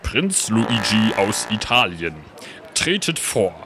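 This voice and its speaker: A man's voice: on the low side, sing-song, slow pace, music in the background, full character voice. An actor playing a blustering, exasperated middle-aged king and father in a comic radio play. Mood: commanding.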